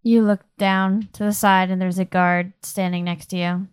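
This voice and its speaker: Woman, game master, casual improvised narration, medium pitch, very little room sound. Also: flat delivery, moderate pace, smooth, no echo, studio quality. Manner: casual, conversational.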